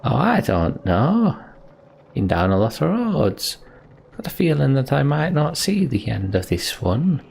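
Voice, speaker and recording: A man's voice: mid-range voice, sing-song, measured pace, gravelly, a little mellow, slight background noise. A man voicing a boastful, rambling old minstrel from a video game, telling tales in colloquial speech. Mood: wistful, sincere. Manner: colloquial, storytelling, swooping emphasis. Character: rambling, boastful old male minstrel, cheerfully world-weary. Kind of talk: reading video game dialogue in character.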